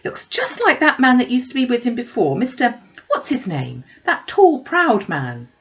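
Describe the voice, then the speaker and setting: A woman doing an excitable, high-pitched teenage girl. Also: normal speed, lo-fi sound. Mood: excited, curious. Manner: exclamatory, eager. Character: excitable, nosy teenage girl, loud and pert.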